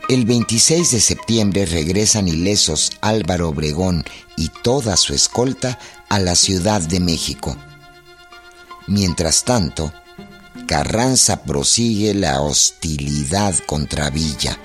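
A man giving formal, measured narration for a historical radio drama. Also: low voice, expressive. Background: instrumental music.

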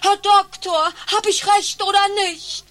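High-voiced, earnest devoted patient. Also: female voice, steady tone, a little slow, noisy background. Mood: insistent. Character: devoted, naively insistent female patient with absurd theories. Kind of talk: German radio play satire.

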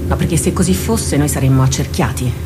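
An actress plays a weary woman captain in a radio drama. Her voice is on the low side, grave and measured, with deliberate rhetorical pauses. Loud music runs beneath her words. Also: natural voice. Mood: skeptical, probing. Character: weary, solemn woman army captain rallying her troops. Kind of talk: radio drama monologue and dialogue.

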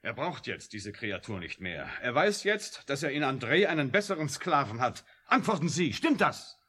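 An actor playing an embittered, sardonic computer scientist with a strong moral streak in a German radio drama, speaking emphatically. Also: male voice, medium pitch, sing-song, moderate pace, fairly bright tone, husky. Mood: accusing. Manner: emphatic, volatile, pointed.

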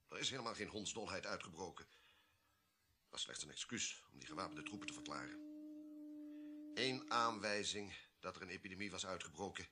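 An actor playing an imperious, ruthless army colonel in a radio play, speaking in a curt, formal, commanding way. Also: male voice, sits low. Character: imperious, ruthless male army colonel guarding a deadly secret. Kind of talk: Dutch radio play acting.

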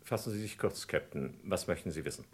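A man's voice: low voice, lively intonation, brisk, breathy edge. A man playing a weary, curt older father in mourning in a German crime radio play, restrained and formal. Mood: curt, subdued.

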